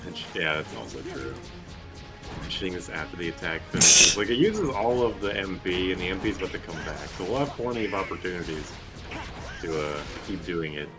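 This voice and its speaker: A man giving casual gameplay commentary in a rambling, halting, sweary way. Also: deep voice. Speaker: video game streamer. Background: game music.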